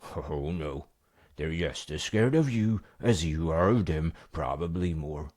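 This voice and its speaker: A man voicing a big, gruff, fatherly mountain troll in a bedtime story, blustery and booming yet reassuring as he soothes a child. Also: measured pace, darkish tone. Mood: calm, reassuring.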